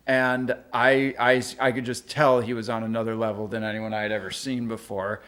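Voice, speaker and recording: A young adult man co-hosting a podcast, joking and talking fast in casual chat. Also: medium pitch, flat delivery, fairly bright tone. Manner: joking, quick and talkative. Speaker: podcast co-host.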